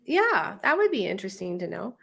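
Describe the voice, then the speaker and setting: A woman's voice: medium pitch, sing-song, a little fast, creaky, a bit bright, slightly rough. A woman with a congested head cold hosting a casual live stream, chatty and rambling as she reads out viewer comments. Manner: chatty, rambling, laughs often.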